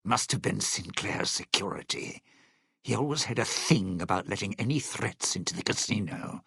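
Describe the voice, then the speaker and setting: Jaded lounge singer crook, gravelly fry, unhurried, sardonic, slangy, self-serving, video game dialogue. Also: male voice, fairly low pitch, fairly bright tone, dry recording, clean studio sound, exaggerated voice. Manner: sardonic, wary, slangy wisecracking.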